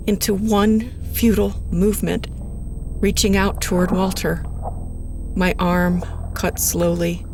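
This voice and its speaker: An actress playing an uneasy female radio presenter, narrating an eerie investigation in a measured, deliberate way. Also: lowish voice, vocal fry. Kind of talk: scripted first-person narration.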